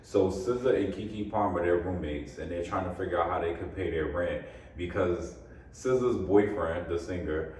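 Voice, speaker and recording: A man's voice: deep voice, moderate pace. A man hosting a podcast, chatting casually and telling stories with lots of laughter. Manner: animated storyteller, laughs often. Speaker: podcast host. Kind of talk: casual chat. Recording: echoey room from far off, faint noise.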